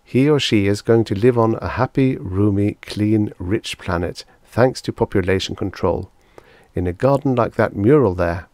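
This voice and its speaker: A man's voice: lowish voice, normal speed, darkish tone, dry recording, lightly acted. A man voicing a pompous, hearty elderly male doctor in an emphatic, condescending, lecturing way for an audiobook. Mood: earnest, grand. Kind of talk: audiobook character acting.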